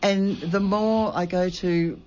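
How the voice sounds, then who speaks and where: An older woman hosts a relaxed radio chat, chatty and gushing as she asks her questions. Her voice is medium in pitch, and the recording sounds a little dull. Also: slow pace. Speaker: radio host. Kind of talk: casual radio interview.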